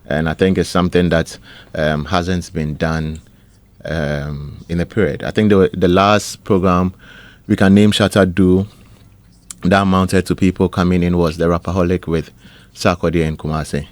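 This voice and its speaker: Man, very low voice, radio co-host, hesitant and full of ums. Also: level tone, moderate pace, dry recording. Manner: hesitant, many ums, rises sharply when teased. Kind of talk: casual studio banter.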